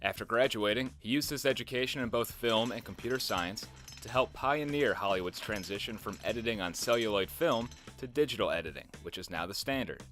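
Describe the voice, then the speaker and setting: A man who reports and interviews, reading a scripted intro and asking measured questions. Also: lowish voice, fairly bright tone, soft background music.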